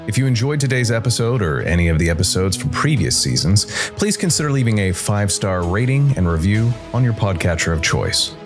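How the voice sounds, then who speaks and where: A man hosting and narrating a scripted story podcast in a measured way, with dramatic pauses. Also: lowish voice, big pitch swings. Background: soft music bed.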